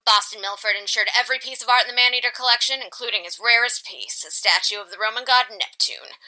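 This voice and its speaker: A woman playing a brisk, sardonic insurance company caller in the snappy style of a 1940s radio detective show. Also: fairly high pitch, bright, forceful tone, low-quality recording. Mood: snappy, businesslike. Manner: snappy, slangy, no-nonsense.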